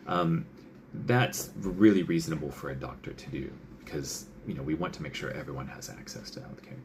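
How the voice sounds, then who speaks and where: A man with a very low voice and a gravelly edge to it, talking as a doctor. He chats loosely, with lots of fillers, and slips into little acted-out lines of dialogue. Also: brisk, noisy background. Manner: chatty, many fillers, acts out quoted patient and doctor lines. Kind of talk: casual advice talk.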